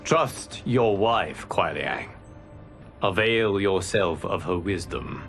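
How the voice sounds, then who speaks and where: An actor playing a calm, stern guardian god in a video game, speaking formally and with authority. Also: male voice, low voice, faint music behind, exaggerated voice. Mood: grave, calm. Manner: formal, measured, commanding. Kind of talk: video game cinematic acting.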